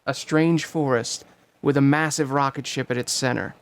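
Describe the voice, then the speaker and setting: A man's voice: steady tone, normal speed. A man reading out a screenplay as narrator, in a dramatic scripted style, at a table read. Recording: loud ambient rumble behind voice.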